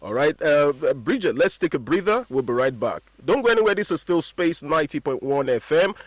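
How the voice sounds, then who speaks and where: A man's voice: on the high side, very animated, a little fast, no room sound. A man, hosting a radio interview. He says "mm-hmm" often and fills his talk with "uh". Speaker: radio host.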